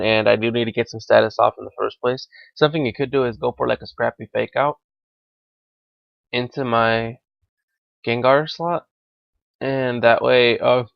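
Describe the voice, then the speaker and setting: Raspy, slightly sick young man. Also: medium pitch, no room sound, highs cut off. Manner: thinking aloud, with frequent fillers. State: slightly hoarse from illness. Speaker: gaming commentator. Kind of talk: live competitive game commentary.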